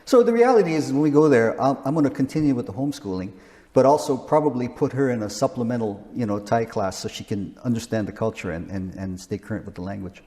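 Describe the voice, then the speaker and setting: A man's voice: medium pitch, fast talker, dark tone, in a large hall. A man in his sixties, a missionary, talking casually in an interview with frequent restarts. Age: 60s. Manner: rambling, frequent restarts and chuckles.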